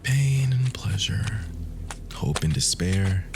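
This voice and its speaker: A man playing an obsessive, wounded and cruel immortal lover in an ASMR roleplay, breathy and half-whispered, with menacing endearments. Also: low-pitched, lively intonation, slow, noisy background, heavily acted. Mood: wounded, taunting. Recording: music bed behind the voice. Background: music.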